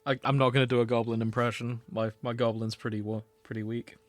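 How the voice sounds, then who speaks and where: A man's voice: mid-range voice, flat delivery, quick pace, no echo. A man hosting a comedy podcast, chatting in a jokey, sweary way. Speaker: podcast host. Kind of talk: bantering comedy podcast chat.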